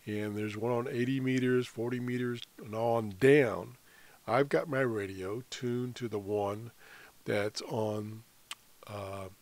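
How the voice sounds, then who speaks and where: A man with a mid-pitched voice walks through a software tutorial. He speaks very slowly and haltingly, explaining each step off the cuff and pausing mid-sentence. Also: no room sound. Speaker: amateur radio hobbyist presenting a tutorial. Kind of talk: unscripted software screencast tutorial.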